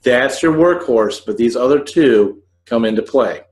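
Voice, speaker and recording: A man in his forties hosting an informal instructional podcast, talking to the camera in halting, measured phrases from a living room. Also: medium pitch, expressive, a little mellow. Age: 40s. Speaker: host of a scriptwriting video podcast. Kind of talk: informal explanatory talk to camera. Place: living room on a couch, indoors.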